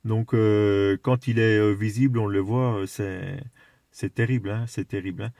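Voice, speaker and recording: A man's voice: fairly low pitch, fairly even intonation, vocal fry, warm tone, dry recording. Hesitant man chatting, dry close sound. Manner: hesitant, halting, searching for words. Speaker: amateur radio operator. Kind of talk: casual chat over CB radio.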